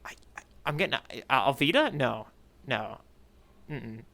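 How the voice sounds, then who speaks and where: A man's voice: medium pitch, sing-song, normal speed, gravelly, bright, forceful tone. A man co-hosting a casual readalong podcast, talking in a playful, rambling way that often interrupts itself. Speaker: podcast co-host.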